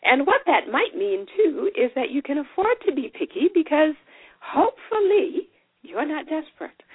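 A woman's voice: sits high, gravelly, slightly rough, dry recording. A woman in her forties hosting a solo podcast, talking in a chatty, folksy, encouraging way. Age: 40s. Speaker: podcast host. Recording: tinny phone-like sound.

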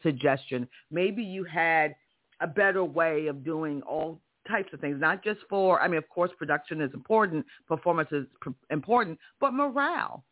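A man's voice: fairly high pitch, lively intonation, moderate pace. A man with a strong voice hosting a career podcast, giving an emphatic motivational monologue full of rhetorical questions. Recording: tinny phone-like audio.

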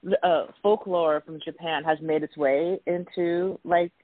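A man's voice: a little high, steady tone, measured pace, no echo. A man from Taiwan, a guest in a radio interview, talking thoughtfully in a rambling, casual way. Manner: thoughtful, rambling, with soft laughs. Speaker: guest artist. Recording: dry, phone-like line.

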